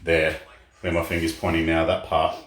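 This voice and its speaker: A man's voice: low voice, monotone, moderate pace, fairly bright tone, a little hiss or hum. A man chatting casually for a vlog, plain-spoken and brief, as he shows hands-on work. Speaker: vlog co-host showing renovation work. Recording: roomy echo with faint music behind. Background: music from a radio.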